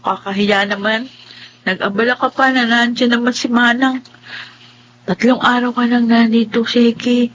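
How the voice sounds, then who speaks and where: A woman playing a sweet, playful but resigned blind young wife in a Filipino radio drama, with expressive delivery. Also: fairly high pitch, moderate pace, lo-fi sound, slight background noise, lightly acted. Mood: resigned, gentle.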